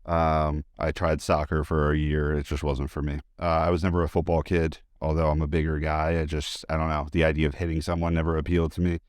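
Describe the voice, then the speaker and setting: A man with an extremely deep, clean, resonant voice talks as a guest in a relaxed interview. He is informal and chatty, sharing personal anecdotes with enthusiasm. The sound is dry, with hardly any room to it. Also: brisk, few pauses, no room sound. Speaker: interview guest, high school teacher and sports announcer. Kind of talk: casual conversational interview, anecdotes.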